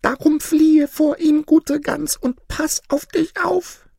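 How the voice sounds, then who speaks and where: A woman's voice: high-pitched, steady tone, slow pace, vocal fry, warm tone, no echo, studio quality, voice pushed up, full character voice. A woman voicing a frightened female goose in a fairy tale, anxious and dramatic as she tells her tale. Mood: agitated storytelling.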